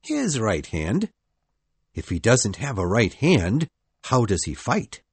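A woman's voice: rumbling low, very animated, slow, slightly rough, dry recording, voice pushed down, exaggerated voice. A woman reading aloud, voicing a boastful, fearless young boy leader in a matter-of-fact, cocky way.

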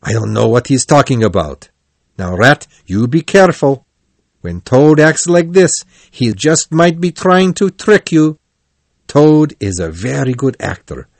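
Guy doing a sensible male badger from a kids story, earnest warning tone, medium pitch, very dry sound. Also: big pitch swings, a little slow, no echo. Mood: concerned, cautioning. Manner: earnest, matter-of-fact. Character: sensible, cautioning male badger, a worried friend. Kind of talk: animal storybook character voice.

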